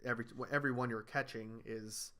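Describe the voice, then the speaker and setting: A man hosting a casual podcast chat, explaining things in a steady way and listing them off one by one. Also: medium pitch, a little fast. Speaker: podcast host.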